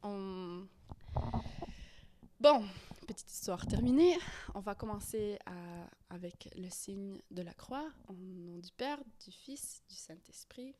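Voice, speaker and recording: Slow, soft-spoken, hesitant woman. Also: mid-range voice, animated, a little hiss or hum. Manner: hesitant, many fillers and restarts, often drops to a whisper. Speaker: YouTuber leading prayer. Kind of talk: casual guided prayer and Bible reading.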